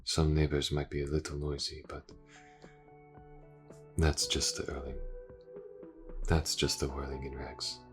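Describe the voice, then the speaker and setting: A man voicing a calm, reserved police detective in an audio roleplay, speaking formally and with gentle reassurance to a wounded partner. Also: very deep voice, faint music behind. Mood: gentle, reassuring. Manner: measured, formal, gently reassuring, hesitant pauses. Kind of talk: audio roleplay, character acting.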